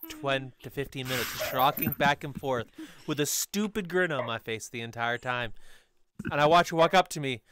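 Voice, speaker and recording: A man's voice: medium pitch, moderate pace, background noise. A man telling stories casually among friends, with other people chattering behind him.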